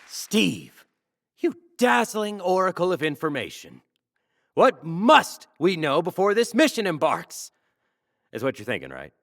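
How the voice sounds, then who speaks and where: A man playing a brash, self-adoring talk show host in an audio drama, showy, flattering and teasing. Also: high voice, measured pace, gravelly, a faint crowd, full character voice. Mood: hyped showmanship.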